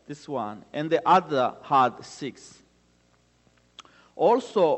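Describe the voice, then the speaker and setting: A man with a Rwandan accent gives an earnest church testimony in deliberate, short, halting phrases. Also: a little high. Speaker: guest speaker giving testimony. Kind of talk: church testimony with scripture readings. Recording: reverberant hall with long echo.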